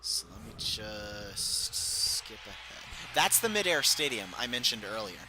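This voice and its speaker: A man's voice: on the low side, sing-song, measured pace, background noise. A young man reading aloud a book he wrote as a child, breaking off into self-mocking, rambling asides. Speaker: reader of his own childhood book. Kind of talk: casual read-through with commentary.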